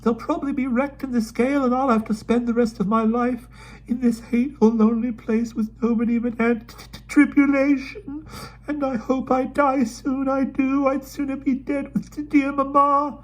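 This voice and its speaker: A man's voice: medium pitch, moderate pace, mellow, voice pushed up, natural voice, poor audio. A man voicing a timid, gloomy young girl who talks in a plaintive, self-pitying way in an audiobook. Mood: sobbing. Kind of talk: audiobook character voice.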